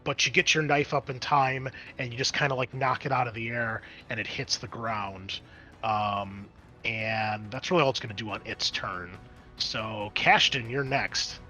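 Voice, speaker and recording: A man's voice: medium pitch, fairly even intonation, moderate pace, pushed and bright, faint music behind. A man speaking casually as game master, explaining rules and narrating play in a tabletop roleplaying game.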